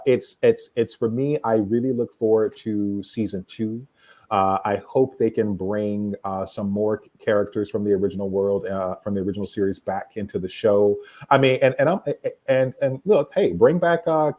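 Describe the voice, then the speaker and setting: A man giving a casual opinion as a panelist in a fan discussion, talking haltingly and repeating words as he goes. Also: lowish voice, a little mellow.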